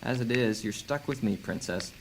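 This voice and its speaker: A man's voice: medium pitch, normal speed, noisy background, natural voice. A man playing a sardonic, resourceful fugitive in a fantasy audio drama, teasing and sarcastic. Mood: wry, matter-of-fact.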